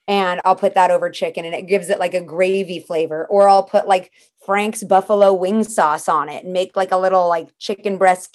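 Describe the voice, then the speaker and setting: A woman's voice: medium pitch, a little mellow. A woman guest in a casual interview, talking in a chatty, practical way and walking through things step by step.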